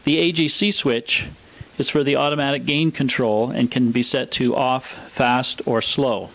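A man with a mid-pitched voice delivers scripted, explanatory narration. He is methodical and matter-of-fact, laying out technical details in an orderly way, as the presenter of an equipment walkthrough. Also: steady tone, measured pace, creaky, a bit bright, poor audio. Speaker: presenter of a vintage radio equipment video.

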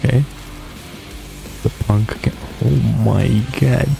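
A man's voice: medium pitch, slow, creaky. A young man giving casual, slangy reaction commentary as a streamer in a laid-back manner. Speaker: streamer reacting to game trailers. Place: small indoor room, streaming setup. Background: game trailer music.

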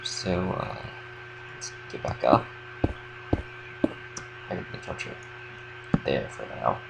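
A young man with a slight cold, casually explaining a game build in a relaxed, rambling way with lots of fillers. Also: a bass voice, takes it slowly, gravelly, background noise. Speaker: game tutorial creator. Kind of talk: casual gameplay tutorial commentary.

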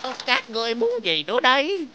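Grumbling insect henchman for a kids' cartoon, high and animated, whiny, hesitant, defiant. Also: a little fast, muffled recording, a little hiss or hum, full character voice. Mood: wary. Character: grumbling dark-gang underwater bug villain, whiny and defiant. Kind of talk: animated children's series dubbing.

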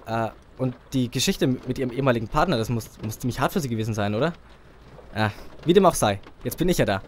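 An actor playing a nervous, overeager young rookie detective who stammers and chatters. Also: male voice, mid-range voice, lively intonation, quick pace, slight background noise, lightly acted. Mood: eager. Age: young adult. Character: eager nervous young male rookie cop. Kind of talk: comedic audio drama.

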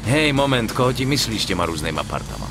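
Low-voiced, wary young gangster. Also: male voice, very animated, gravelly, over music. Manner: terse, sceptical, slangy. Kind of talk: dubbed video game acting.

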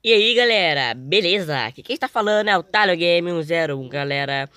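A young male gamer with a Brazilian accent talks casually through his gameplay. His voice is slightly hoarse and his delivery is informal and full of slang. The recording is close and dry. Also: on the high side, animated, brisk, sharp tone, no room sound, soft background music. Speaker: gaming video host.

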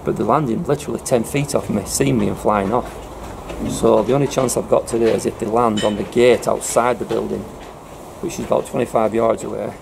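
Man, gravelly low voice, casual fillers, noisy farm ambience. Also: fairly even intonation, brisk. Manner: low-key, chatty, fillers like "you know". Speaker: airgun pest controller. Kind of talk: casual talk to camera. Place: farm building loft, indoors.

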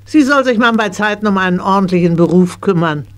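A woman's voice: mid-range voice, fairly quick, lightly acted. An actress playing a middle-aged, careworn shopkeeper mother in a children's radio play, grumbling and chiding. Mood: agitated, grumbling. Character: scolding, careworn shopkeeper mother of a horse-mad girl.

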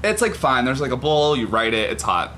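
A man's voice: medium pitch, very animated, a little fast, bright, forceful tone, slight background noise. A man in his twenties chatting casually and gossiping in a dramatic, joking, sarcastic manner. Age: 20s. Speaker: video host. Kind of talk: casual gossipy commentary chat. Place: small living room, on a couch. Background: background music.

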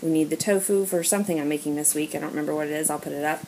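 A woman with an American accent and a fairly low voice talks casually, like a home vlogger showing things to the camera. Her delivery is informal and chatty. The handheld recording has clear background noise. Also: steady tone, rapid-fire. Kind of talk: casual haul voice-over while filming. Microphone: handheld camera or phone, filming items. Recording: noisy handheld amateur recording, clicks.

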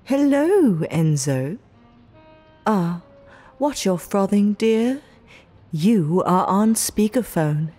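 A woman's voice: mid-range voice, lively intonation, drawn-out words, dark tone, soft background music. A woman playing a playfully menacing, eccentric mob underling in an audio roleplay, speaking coyly in theatrical, old-fashioned phrases. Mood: mocking, playful. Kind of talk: ASMR audio roleplay acting.

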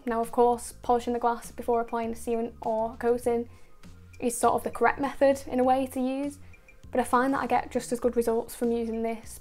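A woman narrating a car detailing video in a steady, explanatory voice-over, going through each step and product methodically. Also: fairly high pitch. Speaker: car detailing channel host.

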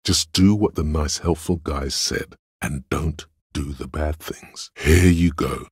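A man voicing Logic as the calm, cerebral inner voice of a detective in a video game, measured, dry and analytical, with a raspy voice. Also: dry recording, heavily acted. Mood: coolly coaching. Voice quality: very deep, gravelly rasp.

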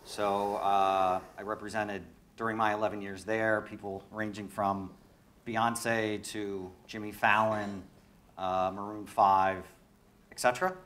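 A man in his forties talks about his work on a conference panel stage. His voice sits a little low, and he speaks briskly and confidently through a handheld microphone. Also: little pitch movement, fairly bright tone. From New York. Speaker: panelist, concert promoter. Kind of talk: panel discussion.

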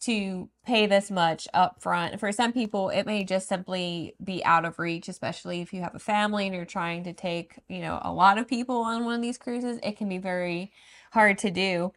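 A woman co-hosting a travel vlog, giving a casual review in an easygoing, measured and diplomatic way. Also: mid-range voice, steady tone, a bit bright.

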